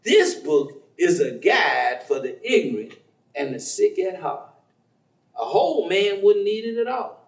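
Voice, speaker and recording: An actor playing a warm, devout, folksy man, teasing and preaching in a conversational staged reading. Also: sits high, sing-song, measured pace, full character voice. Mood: earnest, reflective. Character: warm, devout, folksy man, witty self-styled outlaw believer. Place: theatre stage. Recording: echoey stage recording from far off.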